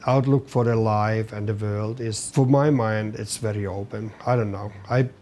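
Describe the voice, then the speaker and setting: A middle-aged man from Finland with a Finnish accent, a chef answering interview questions in halting English, searching for words as he goes. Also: on the low side, steady tone, darkish tone, a little hiss or hum. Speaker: chef and business owner.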